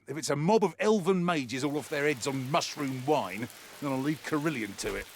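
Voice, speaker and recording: An actor playing a wry, world-weary mercenary soldier in a video game, with a colloquial, grumbling delivery. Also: male voice, on the high side, lively intonation, moderate pace, slight background noise. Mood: dry, resigned. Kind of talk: scripted in-character mission briefing monologue.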